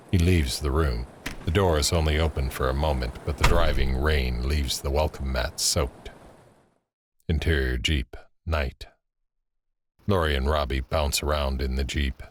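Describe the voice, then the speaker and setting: A man's voice: a bass voice, a little slow. A man reading scripted, screenplay-like narration for an audio drama. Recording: faint ambient noise under narration.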